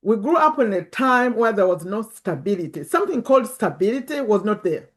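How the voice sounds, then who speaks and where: A woman's voice: medium pitch, very animated, fairly quick. A woman in her fifties with a Ugandan accent, giving an informal motivational talk and repeating words for emphasis. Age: 50s. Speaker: personal finance YouTuber and community host. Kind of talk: informal motivational talk to camera and online group.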